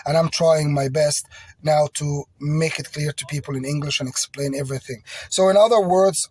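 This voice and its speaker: A man's voice: a little high, darkish tone, husky, no echo. A man whose first language is French, speaking English in an agitated, repetitive personal video appeal.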